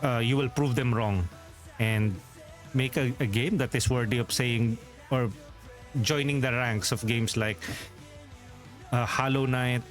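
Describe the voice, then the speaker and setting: A man's voice: medium pitch, fairly even intonation, a little slow, pauses often, faint music behind. A man with a Filipino accent chatting casually as a game streamer, rambling along with lots of "uh" fillers and little chuckles. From Philippines. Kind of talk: casual live-stream chat.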